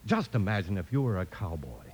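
A man's voice: deep voice, sing-song, brisk, darkish tone, husky. A male announcer reading an upbeat vintage radio advertisement aimed at children.

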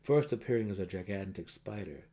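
Theatrical low-voiced male audiobook narrator. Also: expressive, brisk, warm tone. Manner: theatrical, emphatic.

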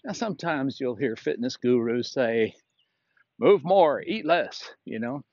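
A man in his sixties giving an unscripted, reflective talk as a fitness instructor while walking outdoors, in a halting, folksy way with frequent pauses. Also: mid-range voice, expressive, a little mellow, no room sound, muffled recording. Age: 60s. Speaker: fitness instructor and podcast host.

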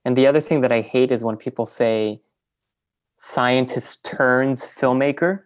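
A woman filmmaker and teacher, in a relaxed interview, who talks in loose, rambling sentences full of fillers and restarts. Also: very deep voice, measured pace. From French Venezuelan, raised in New York. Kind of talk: casual interview conversation.

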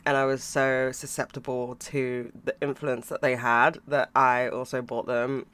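Croaky-voiced young man chatting. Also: mid-range voice, little pitch movement, measured pace, fairly bright tone. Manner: chatty, swears freely, laughs between thoughts. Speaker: podcast host. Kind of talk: casual chat about books and TV.

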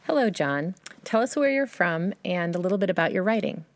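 A woman's voice: fairly low pitch, expressive, brisk, dry recording, clean studio sound. A woman hosting a podcast, reading scripted introductions and interview questions.

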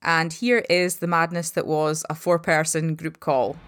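A woman's voice: sits low, level tone, unhurried, heavy background noise. A woman hosting a fan podcast, chatty and effusive, thanking listeners and guests. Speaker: podcast host. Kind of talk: casual podcast chat.

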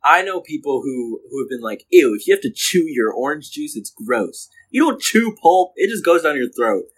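A man's voice: fairly high pitch, very animated, moderate pace, husky. A young man co-hosting a podcast in casual chat, playful and laughing often. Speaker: podcast co-host. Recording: poor audio quality.

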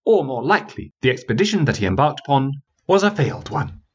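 A man voicing a sharp, skeptical young woman lawyer who speaks in a cutting, coolly dismissive way. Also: mid-range voice, sing-song, fast talker, husky, lo-fi sound, voice pushed up.

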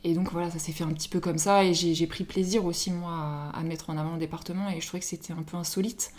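A French woman with a slightly low voice talks as a guest in a relaxed conversational interview. Her delivery is informal and chatty, and she often voices her own thoughts as quotes. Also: quick pace. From Paris, France. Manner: informal, chatty, self-quoting. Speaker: interview guest, social media influencer.

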